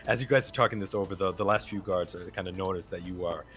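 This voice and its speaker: Deep-voiced man narrating as game master. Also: quick pace, soft background music. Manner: relaxed, filler-heavy narration.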